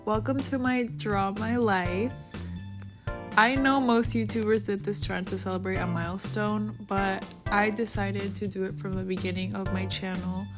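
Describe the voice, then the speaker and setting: Music plays clearly behind a young woman's voice. She grew up in Venezuela with Spanish as her first language. She narrates in a personal, cheerful vlog style, at a middling pitch for a woman. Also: fairly even intonation, normal speed. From Caracas, Venezuela. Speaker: vlogger. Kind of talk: personal narration over a drawing video.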